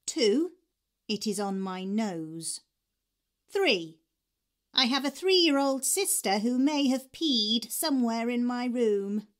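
A woman in her forties narrating audiobooks in a clear, expressive way, shifting tone with each story. Her voice sits a little high, recorded close on a studio mic. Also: takes it slowly.

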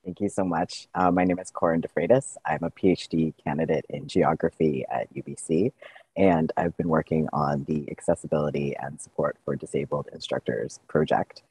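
Very deep, husky young man. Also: fairly even intonation, normal speed, a little mellow, dry recording, poor audio. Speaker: PhD candidate co-presenting research. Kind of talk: informal self-introduction in a presentation. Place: video call indoors.

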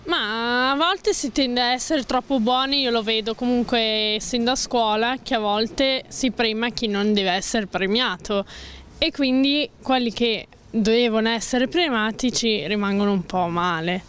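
Earnest young woman student, slightly high voice. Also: measured pace, fairly bright tone, a little hiss or hum. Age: young adult. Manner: earnest, uses fillers, reformulates. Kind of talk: street interview answer. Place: city street outdoors. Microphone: handheld mic held by interviewer.

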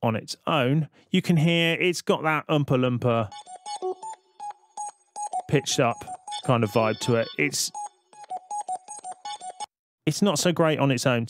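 A man giving a casual, conversational software tutorial voice-over, explaining things step by step. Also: mid-range voice. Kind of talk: casual screen-recorded tutorial narration.

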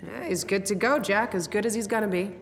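An actress playing a blunt, no-nonsense scientist in a video game, brisk and matter-of-fact. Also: female voice, mid-range voice, slight background noise, close to an everyday voice. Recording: long reverb under music.

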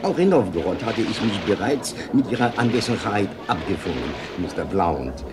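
An actor playing a theatrical French war correspondent in a radio play, talkative and elaborately polite. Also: male voice, fairly low pitch, sing-song, normal speed, heavy background noise. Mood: mock indignant. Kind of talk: German radio play acting.

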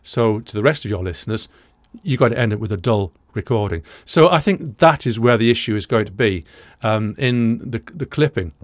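A British man in his sixties presenting an explanatory audio commentary, deliberate and didactic, repeating key points, close to the microphone in a small studio. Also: fairly low pitch, lively intonation, no room sound. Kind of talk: explanatory reaction commentary to camera. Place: small indoor studio room, dry.

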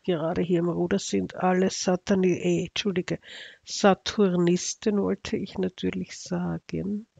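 A man's voice: sits high, flat delivery, unhurried, creaky, a little mellow, no room sound, lo-fi sound. A man with an Austrian accent giving casual, unscripted commentary as an astrology video narrator, in a sardonic, ironic manner.

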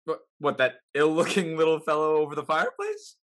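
An actor playing a breezy, teasing young gentleman companion in a period comedy, blunt in manner, over a video call. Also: on the high side, a little fast, natural voice. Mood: mocking, breezy. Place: indoors at home, video call.

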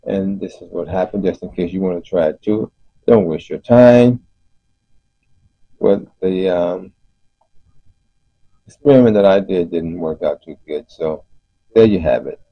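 A man giving an unscripted explanation as host of a DIY video, speaking haltingly with frequent pauses. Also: low-pitched, breathy edge. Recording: muffled, roomy voice-over recording.

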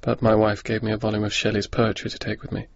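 An actor playing a melancholy, bookish soldier of twenty-three in a radio drama, reflective and subdued. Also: male voice, on the low side, completely flat delivery, fast talker, creaky, a little hiss or hum. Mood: melancholy, resigned. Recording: dry, muffled radio recording.

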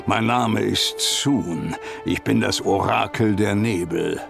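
A stern oracle of the mists for a video game. He speaks very slowly in a gravelly voice, solemn and disdainful, with music behind him. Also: lowish voice, full character voice. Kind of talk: scripted video game dialogue.

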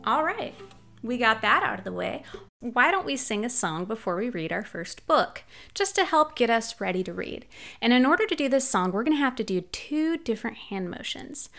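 Bright-voiced woman reading to kids. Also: on the high side, sing-song, normal speed, gravelly, faint music behind. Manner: cheerful, sing-song, talks to young children. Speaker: children's librarian. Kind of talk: children's storytime with songs and read-aloud. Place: small home room, indoors. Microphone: large studio mic on a boom arm. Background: ukulele.

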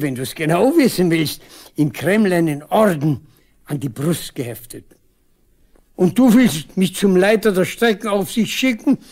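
A man's voice: fairly high pitch, gravelly, a little mellow, exaggerated voice. A proud old country man in a radio play. He badgers and boasts with stubborn insistence, as a veteran who expects respect.

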